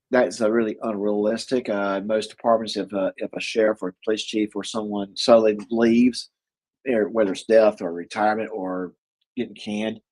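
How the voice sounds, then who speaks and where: A man with a husky voice, co-hosting a casual chat about movies, rambling with lots of fillers and restarts. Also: on the low side, darkish tone, low-quality recording. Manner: rambling, many fillers and restarts, chuckles often. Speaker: co-host.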